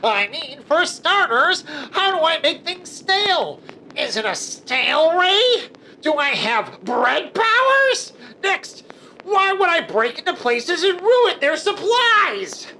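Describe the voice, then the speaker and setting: A woman's voice: very high-pitched, big pitch swings, slow pace, bright, forceful tone, slight background noise, heavily acted, poor audio. A woman voicing a deranged, foul-mouthed villain in a kids' cartoon parody, mocking and theatrical. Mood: indignant, mocking.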